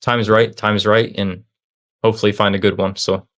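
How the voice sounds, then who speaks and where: A man's voice: fairly low pitch, little pitch movement, fairly quick, a bit bright. A young man of about twenty, a guest in a casual interview, talking in an upbeat, rambling way with many fillers and restarts. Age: young adult, about 20. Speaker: interview guest, student and online seller.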